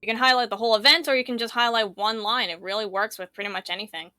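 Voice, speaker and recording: A woman's voice: fairly high pitch, steady tone, fast talker, pushed and bright, no room sound. A woman chatting casually as co-presenter of a tutorial, explaining things in an encouraging way. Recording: music playing behind.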